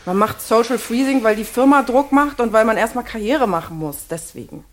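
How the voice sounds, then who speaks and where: A woman's voice: a little high, brisk, natural voice. A woman in her forties playing a fed-up, defensive wife in a naturalistic audio drama. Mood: exasperated. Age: 40s. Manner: defensive, exasperated. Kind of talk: naturalistic improvised-sounding audio drama dialogue.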